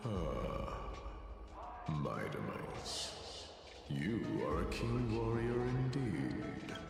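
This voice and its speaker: A man's voice: low voice, sing-song, very slow, creaky, full character voice. An actor playing a mighty, proud mad warrior king, a titan of strife, in a theatrical commanding declamation for a video game. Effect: cavernous reverb on the voice. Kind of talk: dramatic video game voice acting. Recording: echoing long reverb, loud music behind.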